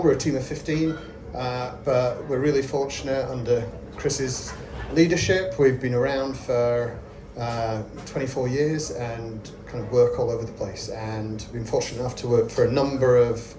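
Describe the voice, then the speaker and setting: Man, 40s, conference speaker; conversational, filler-heavy delivery, mid pitch, echoey venue. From Scotland. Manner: friendly, self-deprecating, conversational with many fillers. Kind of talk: lightning talk at a meetup. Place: event venue with audience. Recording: echoey room with ambient noise.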